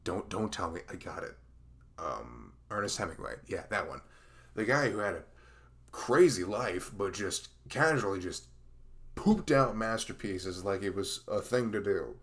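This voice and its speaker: A man acting a dorky, sentimental young best friend with a crush in an intimate ASMR roleplay, speaking haltingly in a rambling, self-conscious way with a gravelly creak. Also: low-pitched, animated. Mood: bashful, rambling. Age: young adult.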